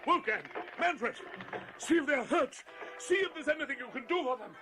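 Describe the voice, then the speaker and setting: A well-to-do father who rules his country house in a radio drama. His voice swings widely in pitch, formal and commanding yet courteous, with faint music behind. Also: lively intonation, moderate pace. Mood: urgent, alarmed. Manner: authoritative, formal, emphatic.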